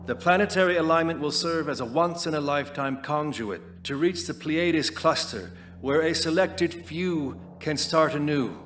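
Doomsday preacher for radio play, male actor, slightly high voice, grave oratory over light noise. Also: moderate pace, few pauses, a bit bright, natural voice. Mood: prophetic, urging. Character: solemn doomsday cult leader preaching end times. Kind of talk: dramatic scripted monologue.

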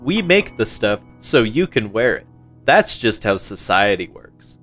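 A man's voice: lowish voice, big pitch swings, measured pace, a bit bright. A man reading a scripted sponsor advertisement as an announcer. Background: guitar music.

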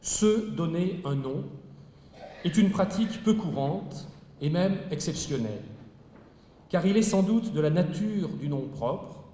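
A man giving a solemn, formal prepared speech to open a university ceremony, in measured phrases in a large hall. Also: fairly high pitch, expressive, mellow. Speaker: university speaker opening a ceremony. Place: large hall before an audience. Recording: echoey hall, faint noise.

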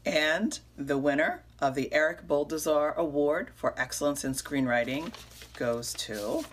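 A woman's voice: deep voice, a little slow, slight background noise. Middle-aged woman announcing awards. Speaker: award presenter. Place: indoors, in front of a curtain.

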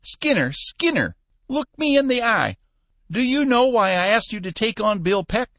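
A man reading an audiobook, voicing a feisty, soft-hearted old businessman in a high, chirping, bossy voice. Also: very animated, normal speed, no echo, heavily acted. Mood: scolding, cajoling. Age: older.

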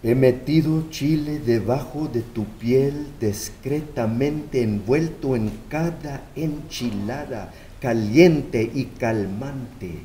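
An older man giving a measured, bilingual dramatic poetry reading at a microphone. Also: medium pitch, warm tone, slight background noise, rough recording. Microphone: stand microphone at lectern.

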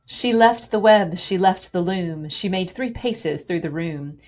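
A woman reading poetry aloud in a dramatic recitation, with measured, rhythmic phrasing that falls away at the ends of lines. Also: fairly low pitch, darkish tone. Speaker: poetry reader.

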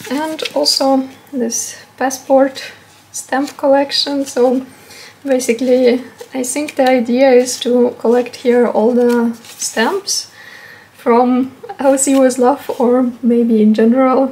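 A woman's voice: high-pitched, little pitch movement, takes it slowly, darkish tone, slight background noise, poor audio. A woman giving a casual, unscripted stationery haul commentary, talking a little hesitantly as she shows items.

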